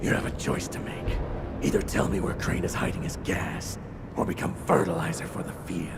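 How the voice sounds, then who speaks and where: An actor playing a grim, resolute masked vigilante hero in a video game, with a terse, growling rasp. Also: male voice, deep voice, expressive, moderate pace, heavy background noise, heavily acted. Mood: menacing. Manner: terse, intimidating. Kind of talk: video game cutscene acting. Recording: loud music behind.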